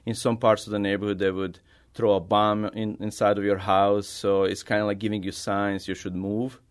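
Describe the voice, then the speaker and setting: Low-voiced Bosnian man, halting English. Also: little pitch movement, smooth. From Bosnia, former Yugoslavia. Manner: halting, non-native English phrasing. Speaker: artist being interviewed. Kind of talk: conversational interview answers, personal storytelling.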